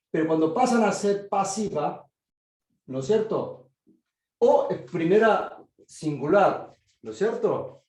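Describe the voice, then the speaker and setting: A man's voice: fairly high pitch, a little mellow. Korean-accented man teaching with echo. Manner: checks understanding with frequent "¿sí?". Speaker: teacher of biblical Greek. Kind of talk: online class lecture.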